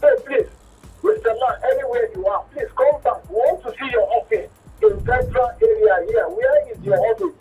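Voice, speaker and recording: A man's voice: very high-pitched, big pitch swings, moderate pace, darkish tone, recorded from a distance, faint music behind, low-quality recording. A man calling into a radio show, emphatic and pleading, repeating words as he pushes his view. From Nigeria. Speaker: caller. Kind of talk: phone-in comment on radio.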